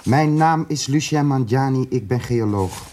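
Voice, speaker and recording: A man's voice: mid-range voice, a little slow, dark tone, natural voice. Man acting a defiant detainee, faint noise behind. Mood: wary, insistent. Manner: terse, insistent protesting. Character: defiant male geologist detainee, wary and exasperated. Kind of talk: radio drama acting.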